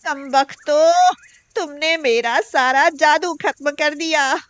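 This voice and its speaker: A scheming, greedy mother-in-law from a cartoon folk tale, put on by a woman narrator. Her voice is raised high and her delivery is drawn out. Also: takes it slowly, fairly bright tone, no room sound. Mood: furious.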